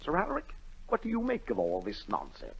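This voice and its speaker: A man's voice: wildly swooping pitch, a little fast, creaky, husky, exaggerated voice. Blustering, imperious storybook king, wildly swooping voice. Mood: indignant. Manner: pompous, commanding, booming outbursts. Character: imperious, short-tempered, blustering old-time storybook king. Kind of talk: 1940s radio acting. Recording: dull, muffled 1940 radio recording.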